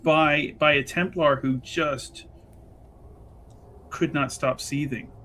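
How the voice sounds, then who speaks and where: A man talks as a player in a tabletop roleplaying game. His voice sits a little high for a man, and he chats casually and agreeably, improvising story details and echoing his partner. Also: fairly even intonation, takes it slowly, sharp tone, slight background noise. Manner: chatty, agreeable, often echoing the other speaker.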